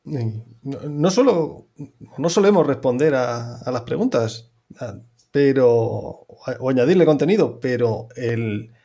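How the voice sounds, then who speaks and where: Man in a mid-range voice asking quick questions. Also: medium pitch, lively intonation, dark tone, husky. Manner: friendly, reads quick-fire questions. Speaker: podcast host. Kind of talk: casual interview questions.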